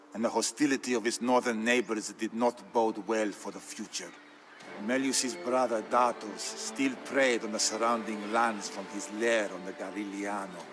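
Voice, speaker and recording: A male actor plays a solemn Byzantine Greek man recounting his family's wars. His voice sits low, and he speaks in a measured, theatrical manner, with weighty gravity. It is scripted storyline narration for a strategy game, set over clearly audible music. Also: close to an everyday voice.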